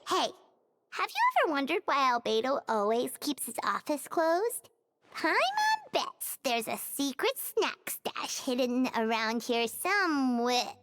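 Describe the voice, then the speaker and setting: A tiny game fairy with a very high, bright, squeaky voice. She is excitable and curious like a small child, swooping up and down as she exclaims. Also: measured pace, heavily acted. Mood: curious, chatty. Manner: exclamatory, talks of self in third person. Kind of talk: video game voice acting.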